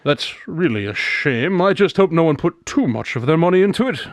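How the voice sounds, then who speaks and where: A man's voice: on the high side, lively intonation, a little fast, no room sound, studio quality. A man acting a shrewd, unflappable private detective in a modern radio drama, with a wry, knowing, teasing manner. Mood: smug, teasing.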